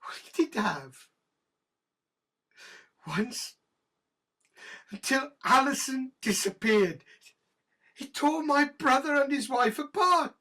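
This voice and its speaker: Husky, bitter British police detective. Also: female voice, sits high, expressive, pushed and bright, heavily acted. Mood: grieving, accusing. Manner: halting, bitter, emotionally volatile. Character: embittered, guilt-ridden senior female police detective, obsessive and weary. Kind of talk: naturalistic audio drama acting.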